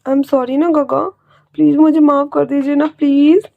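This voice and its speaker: A woman's voice: high-pitched, normal speed, dry recording, narrow, tinny audio, voice pushed up. A woman voicing a jittery young man who stammers and pleads, frightened and guilty, in a spoken story. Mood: nervous, pleading.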